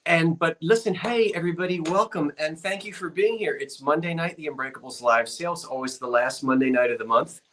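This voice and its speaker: A man's voice: fairly high pitch, a little fast, few pauses, a bit bright. Folksy man hosting a live stream sale. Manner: folksy, homespun exclamations. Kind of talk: casual live-stream chat.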